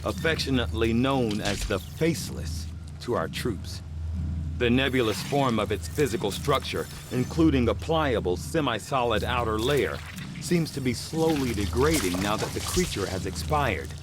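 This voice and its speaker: An actor playing a clinical, erudite male scientist in a video game, speaking in formal, measured sentences. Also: mid-range voice, lively intonation, background noise, close to an everyday voice. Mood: clinical, detached. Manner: formal, precise, measured delivery. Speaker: alien researcher scientist. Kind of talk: scripted video game cutscene acting. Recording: loud music behind. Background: music.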